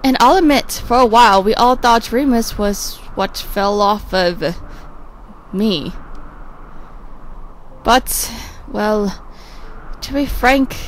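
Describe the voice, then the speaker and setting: A woman voicing a frank, world-weary male character in an amateur comic dub, blunt and halting as she explains. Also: medium pitch, slight background noise, low-quality recording. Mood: hesitant, frank.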